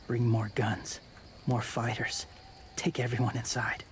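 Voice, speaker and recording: An actor playing a quiet, guarded young male wanderer who speaks in terse, subdued fragments in a video game drama. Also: mid-range voice, flat delivery, measured pace, a little hiss or hum, close to an everyday voice. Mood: weary, subdued.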